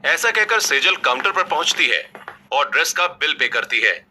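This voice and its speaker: A man's voice: on the high side, sing-song, normal speed, pushed and bright, a little hiss or hum. A man reading scripted narration as the storyteller of a family tale. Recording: faint background music.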